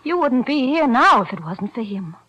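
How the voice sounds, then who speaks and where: A woman's voice: sits high, sing-song, normal speed, dull audio. An actress playing a worried young woman, earnest and pleading, in 1940s radio-drama style. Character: anxious young woman, the loyal girlfriend.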